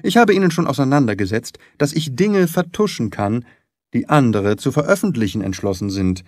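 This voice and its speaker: Man voicing a gentleman detective, shrewd, composed, precise, insistent, lively intonation, audiobook. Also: mid-range voice, normal speed, dry recording, studio quality, close to an everyday voice. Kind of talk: audiobook character acting.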